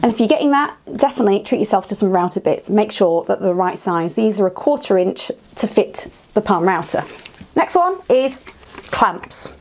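A woman's voice: mid-range voice, expressive, normal speed, darkish tone, a little hiss or hum. A woman in her thirties with a British accent, chatting enthusiastically to camera about woodworking tools in a small wooden workshop shed, on a lapel mic. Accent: British English. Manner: chatty, enthusiastic, frank asides. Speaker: woodworking vlogger. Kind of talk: casual tool walkthrough to camera.

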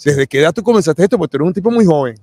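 A man's voice: fairly high pitch, expressive, rapid-fire, darkish tone, dry recording. A middle-aged man interviewing in a chatty, effusive way full of exclamations. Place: convention event booth, indoors. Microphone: close boom-arm microphone with headset.

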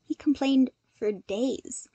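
Woman voicing an earnest, animated young man. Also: high voice, takes it slowly, breathy edge, no room sound, dull audio, exaggerated voice. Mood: wry, animated. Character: earnest, cheerful, analytical young man, teasing agency secretary.

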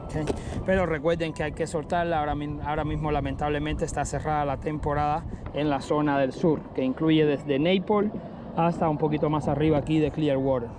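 A man narrating his fishing from a kayak on an open bay, excitedly showing each catch to viewers through a head-mounted camera. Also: a little high, brisk, background noise. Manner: excited, keeps addressing viewers as friends. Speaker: fishing vlogger. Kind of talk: casual fishing commentary to camera. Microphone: head-mounted action camera.

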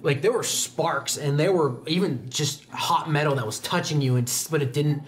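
A man's voice: a little high, normal speed. A young man working as game master, narrating dramatically and expressively, with some hesitation as he goes. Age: young adult. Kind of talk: dramatic tabletop roleplaying narration. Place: indoor studio set. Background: music.

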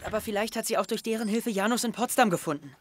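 A man's voice: high-pitched, fast talker, a little hiss or hum, close to an everyday voice. A young man playing a brooding, suspicious teenage schoolboy in a German audio drama, with a hesitant, introspective manner. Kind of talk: naturalistic audio drama dialogue and monologue.

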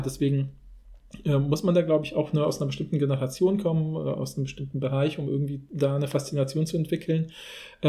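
Guy cohosting a chatty podcast, bit higher voice but really soft and relaxed, rambles a bit. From Poland until about age six, then Germany. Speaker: podcast co-host. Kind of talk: casual conversational podcast talk.

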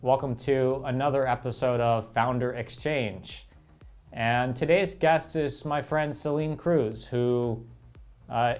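A young man hosting an interview show over a video call, relaxed, with frequent "uh" fillers. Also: mid-range voice, clear, resonant tone. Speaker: show host.

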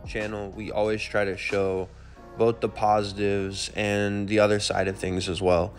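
A man's voice: on the low side, level tone, unhurried, faint music behind. A man hosting a travel vlog, narrating a city walking tour in an informative, easygoing way. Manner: informative, easygoing, with casual fillers.

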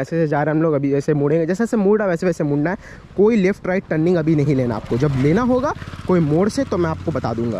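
A man's voice: on the high side, warm tone. A young man from India, vlogging casually on a handheld camera, explaining things to viewers in a repetitive, instructive way. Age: young adult. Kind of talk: casual walking vlog, giving directions and farm tour. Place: village lanes outdoors and cattle shed. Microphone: handheld camera at arm's length. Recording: noisy handheld outdoor recording, ambient sound.

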